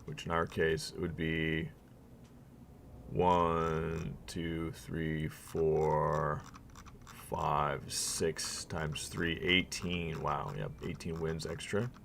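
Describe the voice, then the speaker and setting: A man with a very low voice, rough with vocal fry and weak from a long weekend of talking. He commentates his game live, musing aloud and reading bits of text to viewers. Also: steady tone, extremely slow, a bit bright, slight background noise. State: weak, worn-out voice. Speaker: streamer.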